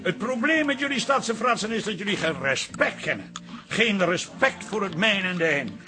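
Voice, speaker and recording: High-pitched, indignant pub landlord. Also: male voice, expressive, moderate pace, over music. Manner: exasperated, old-fashioned exclamations. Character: indignant fretful pub landlord, grumbling middle-aged son. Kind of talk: Dutch radio drama acting.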